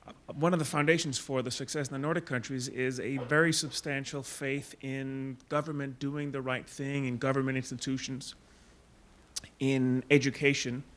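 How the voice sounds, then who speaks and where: A man from Denmark in the audience, asking a long question in a measured way with fillers. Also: mid-range voice, flat delivery, fairly bright tone, a little hiss or hum. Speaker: audience member.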